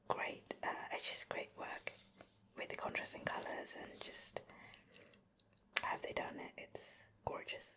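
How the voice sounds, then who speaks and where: A woman doing an ASMR show and tell in a soft, breathy whisper close to the microphone, gently murmuring her commentary. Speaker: ASMR video creator. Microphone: close microphone, ear-to-ear ASMR recording.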